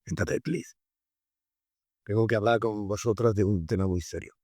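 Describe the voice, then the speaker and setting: A man acting as a solemn film father, deep and low, weighty delivery, serious family head. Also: fairly even intonation, moderate pace, dark tone, no room sound, clean studio sound, natural voice. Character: grave father addressing his family. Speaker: film character.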